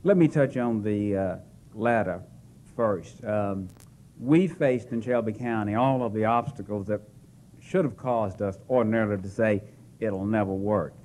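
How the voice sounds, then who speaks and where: Relaxed, hesitant male public defender. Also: lowish voice, measured pace, a little hiss or hum. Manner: relaxed and jokey, frequent "uh" hesitations. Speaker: chief public defender presenting to a panel. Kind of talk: semi-formal presentation with asides. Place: hall at a press club.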